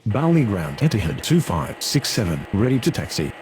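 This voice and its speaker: A man's voice: fairly low pitch, sing-song, normal speed, background noise. A man voicing a businesslike, formal pilot and controller in a game, using clipped radio phraseology.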